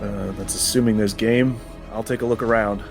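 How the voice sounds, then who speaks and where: A man's voice: lowish voice, steady tone, moderate pace, creaky, over music. A man talking casually as a tabletop game player, with a dry, wry manner.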